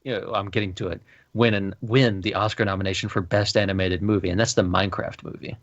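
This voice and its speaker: Man, low pitch, measured casual discussion, podcast co-host, very dry close sound. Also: steady tone, no room sound, dull audio.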